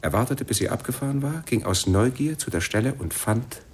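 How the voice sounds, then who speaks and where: A man playing a matter-of-fact police sergeant in a German radio crime drama, reporting formally and deliberately. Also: medium pitch, lively intonation, slight background noise, natural voice. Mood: factual, grave. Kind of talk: radio play acting.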